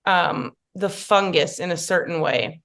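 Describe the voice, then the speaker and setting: A woman's voice: fairly low pitch, a little slow, fairly bright tone. A woman makeup artist, chatting casually as a podcast guest with many fillers and asides.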